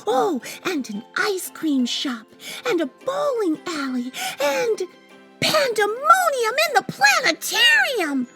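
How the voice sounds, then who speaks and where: A woman voicing an imaginative young boy who narrates his adventure in a dramatic, expressive storybook read-aloud. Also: extremely high pitch, soft background music, pitched above the performer's own voice, heavily acted. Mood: dreamy wonder. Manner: dramatic, expressive, drawn-out delivery.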